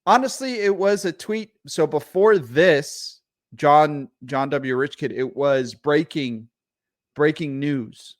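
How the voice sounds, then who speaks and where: A man's voice: a little high, takes it slowly, no echo, clean studio sound. A man whose first language is Arabic, co-hosting a casual livestream, heated and profane, often repeating words as he rants. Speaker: podcast co-host.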